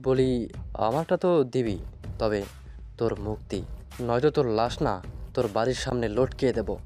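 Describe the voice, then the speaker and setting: A man's voice: medium pitch, moderate pace, dark tone, faint music behind, natural voice. A man playing a bullying, greedy student political leader in an audio drama, threatening and taunting.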